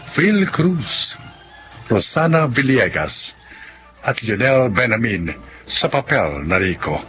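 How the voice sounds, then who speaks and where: A man's voice: mid-range voice, fairly bright tone, highs cut off. A man giving scripted, dramatic narration as a radio drama announcer. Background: music.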